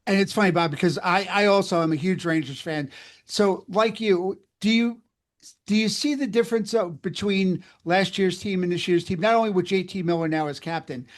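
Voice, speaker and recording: A man's voice: high voice, moderate pace. A man interviewing a guest about sports, conversational and wordy, circling back on his phrases as he builds a question.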